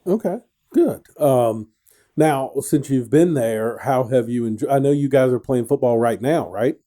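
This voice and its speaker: A man's voice: medium pitch, a little slow, dark tone. A middle-aged man hosting a casual radio interview, rambling and joking with plenty of restarts. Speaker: radio show host.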